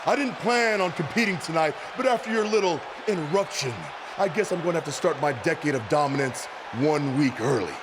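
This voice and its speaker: An actor playing an arrogant, menacing wrestling champion who delivers boastful, deliberate threats in a video game promo. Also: male voice, a little high, animated, natural voice. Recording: noisy arena with crowd behind.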